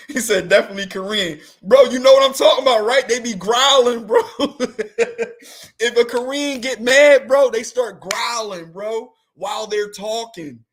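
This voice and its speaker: A man's voice: extremely high pitch, a little slow. A man hosting a show, chatting casually and comically, slangy and rambling, often laughing. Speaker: host. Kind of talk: comedic casual chat.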